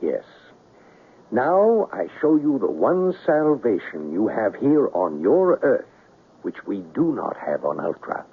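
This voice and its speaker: An actor playing a worldly exiled alien scoundrel in a 1970s radio drama, measured and declamatory, commanding yet fatherly. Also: mid-range voice, very animated, creaky, slightly rough, heavily acted. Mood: solemn, commanding. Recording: muffled, noisy old radio broadcast.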